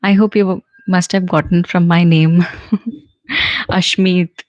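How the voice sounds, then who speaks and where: A middle-aged woman from central India with an Indian accent, a soft skills trainer hosting a live question and answer session, chatting and answering viewer comments with many fillers and small laughs. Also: lowish voice, steady tone, takes it slowly, no echo, lo-fi sound. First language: Punjabi. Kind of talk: live stream Q&A.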